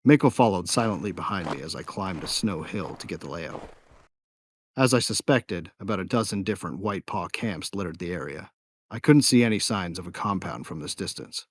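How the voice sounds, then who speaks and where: Low-voiced wry bounty hunter played by a man. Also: lively intonation, moderate pace. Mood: wry, watchful. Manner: wry, informal. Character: young sardonic male bounty hunter, hot-tempered narrator. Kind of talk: first-person scripted narration of an audio drama. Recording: music behind the voice.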